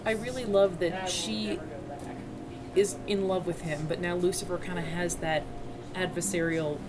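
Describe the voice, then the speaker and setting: A woman's voice: on the low side, steady tone, normal speed, noisy background. A woman co-hosting a podcast in a restaurant, with a slight cold, chatting casually and teasing her co-host about his wording. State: has a cold, a little scratchy. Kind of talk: casual chat. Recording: podcast recorded in a restaurant with loud music behind.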